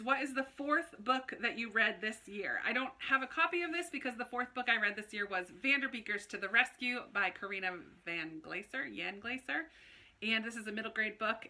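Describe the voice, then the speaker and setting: A woman with a fairly high, bright voice talks casually to the viewers of her book channel. Her delivery is chatty and expressive. She often stops to correct her own wording and then carries on. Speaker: book vlogger, channel host.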